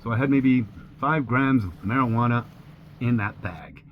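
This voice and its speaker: A man's voice: mid-range voice, normal speed. A man in his sixties whose first language is French, candidly recounting his own ordeal as a sailing vlogger. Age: 60s. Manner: plain, candid retelling with steady pacing. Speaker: sailor vlogger. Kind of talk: personal storytelling narration. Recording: slightly dull with faint hiss.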